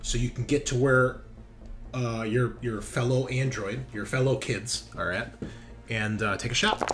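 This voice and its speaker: A man's voice: mid-range voice, a bit bright. Man running a tabletop game over music. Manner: explanatory, narrating action and rules. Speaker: game master. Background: background music.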